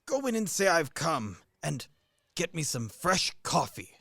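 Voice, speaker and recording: An actor playing a blustering, old-fashioned middle-aged army major and husband in a radio play, blunt, pompous and grumbling. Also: male voice, fairly high pitch, big pitch swings, unhurried, creaky, fairly bright tone, a little hiss or hum, exaggerated voice. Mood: gruffly genial.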